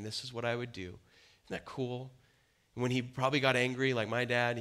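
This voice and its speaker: A man in his thirties preaching a sermon in a casual, conversational and animated way, on stage in a dim church hall before a crowd. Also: medium pitch. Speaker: pastor.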